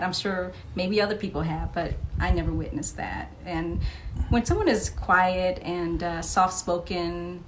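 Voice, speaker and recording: Chatty low-voiced woman, noisy recording. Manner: chatty, often laughing as she tells stories. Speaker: podcast guest. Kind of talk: casual anecdotal interview storytelling. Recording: clearly noisy live podcast recording.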